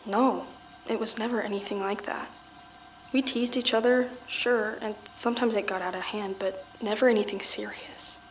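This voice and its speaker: A woman's voice: medium pitch, close to an everyday voice, low-quality recording. A woman playing a guarded, subdued teenage girl accused of murder, in an audio drama. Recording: faint background noise.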